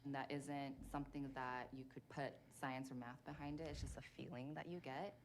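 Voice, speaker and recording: A woman's voice: rumbling low, steady tone, a little fast, slight background noise. A woman recruiter speaking earnestly and admiringly in a documentary interview. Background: music.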